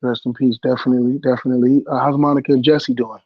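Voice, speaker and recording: A man's voice: medium pitch, flat delivery, quick pace, dry recording, highs cut off. A man co-hosting a casual podcast, a bit congested from a cold, with a playful, teasing way of talking. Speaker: podcast co-host. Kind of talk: casual chat.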